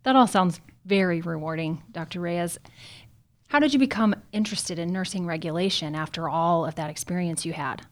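Lower-pitched woman hosting a podcast. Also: normal speed. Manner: polite, formal interviewer. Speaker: podcast host. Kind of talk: scripted podcast intro and interview questions.

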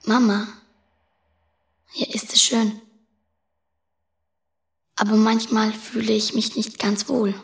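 A woman's voice: a little high, flat delivery, slow, vocal fry, long pauses, roomy sound, distant mic. A woman reading a scripted, personal narration in the manner of a letter.

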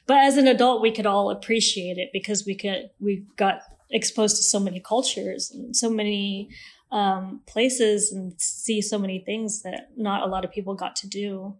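Guest, woman with an American accent, relaxed and chatty, medium pitch. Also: normal speed. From Malaysia. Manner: relaxed and talkative, with many ums and laughs. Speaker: podcast guest. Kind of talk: casual interview.

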